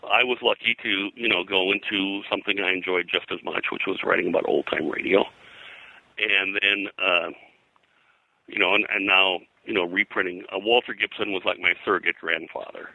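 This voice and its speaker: A deep-voiced older man with a heavy creak and a very sharp, forceful edge. He talks as a historian in an interview, rambling through anecdotes and halting often as he goes. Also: fairly even intonation, dry recording, poor audio. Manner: halting, rambling, frequently throat-clearing. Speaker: pop culture historian being interviewed. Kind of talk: anecdotal interview talk about old-time radio and pulps.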